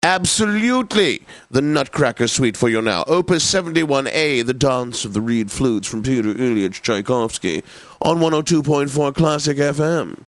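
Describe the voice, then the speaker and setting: An actor playing a pompous, genteel classical radio DJ in a video game, announcing in a precise and theatrically obliging manner. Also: male voice, medium pitch, animated, normal speed, no room sound, close to an everyday voice. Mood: eager, gracious. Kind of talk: scripted radio continuity announcing.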